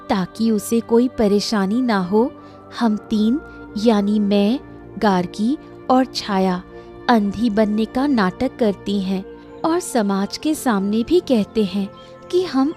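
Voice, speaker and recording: A woman's voice: medium pitch, dark tone, close to an everyday voice. A woman voicing a polite, earnest young village woman in a Hindi cartoon moral story. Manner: respectful, patient, reassuring. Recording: music bed under the voice.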